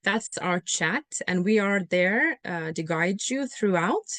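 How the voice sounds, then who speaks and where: A woman's voice: mid-range voice, expressive, takes it slowly, a bit bright, no echo. A woman from Finland, presenting in a webinar in a friendly, reassuring way with frequent fillers. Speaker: software company presenter.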